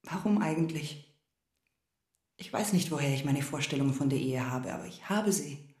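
A woman playing a proud, wounded wife in a German radio drama, reproachful and intense, sometimes dropping into hushed asides. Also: low-pitched, expressive, moderate pace, roomy sound. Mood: bitter, resigned. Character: wounded, proud wife confronting her husband and lover.

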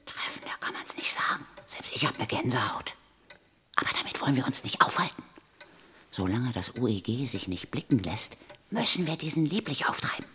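Children's audio drama: seasoned woman ghost hunter, husky, dry, bossy, lively intonation. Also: extremely low pitch, a little fast, in a whisper, heavily acted. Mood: dry, businesslike. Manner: dry, matter-of-fact, commanding. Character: brisk, unflappable older female ghost hunter. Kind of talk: German children's radio play acting.

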